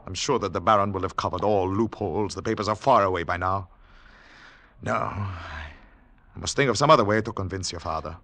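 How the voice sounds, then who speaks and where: An actor playing an earnest, weary government agent in a 1940s radio drama, speaking formally and deliberately, at times halting. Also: male voice, deep voice, fairly bright tone. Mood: troubled. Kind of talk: 1940s radio drama acting with first-person narration. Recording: 1940s radio broadcast recording.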